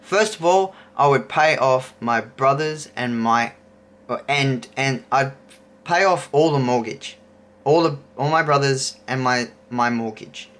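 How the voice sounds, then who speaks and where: Australian male vlogger, thirties, unhurried and rambling, with a bright, forceful tone. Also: medium pitch. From Queensland, Australia. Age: 30s. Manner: rambling, thinking aloud. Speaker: YouTuber host. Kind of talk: casual chat to camera.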